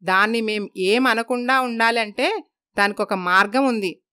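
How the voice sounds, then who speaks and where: A woman's voice: fairly high pitch, unhurried, bright, forceful tone, no echo, studio quality. A woman voicing a worried, stern older mother-in-law in a moral story.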